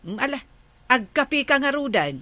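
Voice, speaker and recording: A woman playing a patient, sensible village housewife in an Ilocano comedy radio drama, reasoning in drawn-out phrases with an Ilocano accent. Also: high-pitched, very animated, bright, forceful tone, no echo, exaggerated voice. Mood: polite, puzzled.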